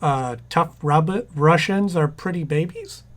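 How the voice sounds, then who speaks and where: A man's voice: a little high, slow. A man playing a distracted, mild-mannered husband in a 1940s-style radio comedy, hesitant and stammering. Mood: flustered. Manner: hesitant, stammering, absent-minded.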